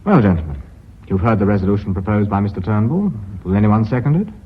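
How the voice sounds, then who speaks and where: An actor playing a dry-humoured company director chairing a board in a radio drama, formal and wry. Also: male voice, fairly low pitch, animated, quick pace, breathy edge. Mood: formal, firm. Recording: muffled lo-fi radio drama recording.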